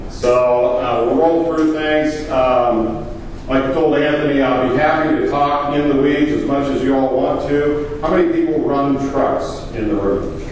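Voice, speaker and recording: A trucking company owner with a big voice, giving a plainspoken, filler-heavy talk at a conference. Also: male voice, mid-range voice, measured pace, a little mellow, a little hiss or hum. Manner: plainspoken, loose, with many fillers. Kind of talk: conference presentation. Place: large echoey conference room. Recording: echoey hall, distant.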